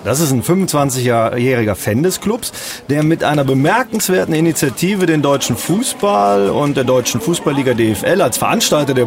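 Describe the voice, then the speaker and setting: A male radio reporter explaining a story to the host in conversation. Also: a little high.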